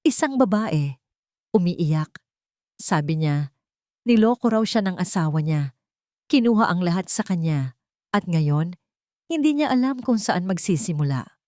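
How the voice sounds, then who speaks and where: A woman voicing a kindly old man who speaks in a grave, measured way in an audio story. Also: on the low side, expressive, darkish tone, dry recording, clean studio sound.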